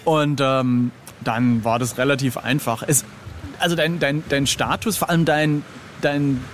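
A man's voice: medium pitch, steady tone, a little slow, a little hiss or hum. A man speaking as an illustrator guest in a casual interview, informal, irreverent and jokey.

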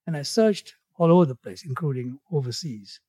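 A man in his eighties from Tanzania, a guest in an interview, speaking in a measured way with his voice falling away at the ends of phrases. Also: fairly high pitch, animated, warm tone, husky, no room sound. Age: 80s. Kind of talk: reflective interview conversation.

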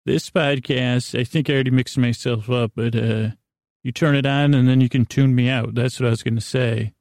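A man hosting a bedtime podcast, rambling haltingly in a creaky, soothing voice and often trailing off mid-thought. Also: mid-range voice, fairly even intonation, a little mellow, dry recording, studio quality. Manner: soothing, lulling delivery. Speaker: podcast host.

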